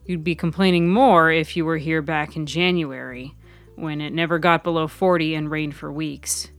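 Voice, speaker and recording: A woman's voice: sits low, steady tone, normal speed, faint music behind. A woman voicing a mild, earnest male shop worker with a dry, understated, teasing way of speaking in an audio story.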